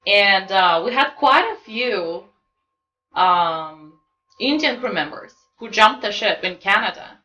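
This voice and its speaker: Bright-voiced Russian-accented woman guest. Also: medium pitch, expressive, slow pace, muffled recording. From Siberia, Russia. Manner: laughs often, brief replies. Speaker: podcast guest. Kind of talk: casual interview chat.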